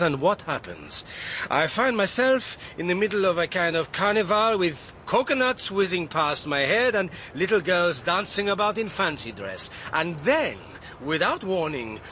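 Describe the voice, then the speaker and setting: A man's voice: a little high, sharp tone, slight background noise. An actor playing a suave, affronted wealthy foreign yachtsman, florid and polished in a radio drama. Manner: florid, theatrical, polished formal phrasing.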